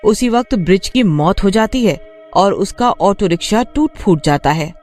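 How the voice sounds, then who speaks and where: A woman's voice: medium pitch, expressive, measured pace, a little mellow, soft background music. A woman giving scripted narration of a cartoon folk tale.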